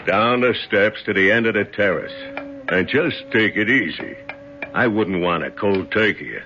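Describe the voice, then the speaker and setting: A man's voice: fairly low pitch, moderate pace, pushed and bright, faint music behind. An actor playing a mocking gangster henchman in a 1970s radio drama, with taunting tough-guy talk. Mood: taunting threat. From Naples, Italy. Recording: muffled, noisy 1970s radio broadcast.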